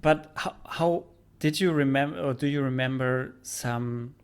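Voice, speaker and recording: A man with a German accent hosting a podcast interview, asking slow, hesitant questions and laughing often. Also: mid-range voice, clear, resonant tone. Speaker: podcast host. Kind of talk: interviewing, casual chat.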